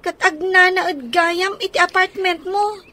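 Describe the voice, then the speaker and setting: A woman playing an earnest young child in a radio drama, pleading in a drawn-out way. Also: very high voice, steady tone, bright, forceful tone, exaggerated voice.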